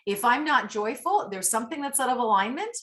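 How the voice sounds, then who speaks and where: A woman's voice: a little high, lively intonation, fairly quick, a bit bright. A woman raised from early childhood in Canada after being born in Italy, Italian her first language, talking as an upbeat, effusive podcast guest over a remote call. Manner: effusive, upbeat motivational talk. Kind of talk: casual interview chat.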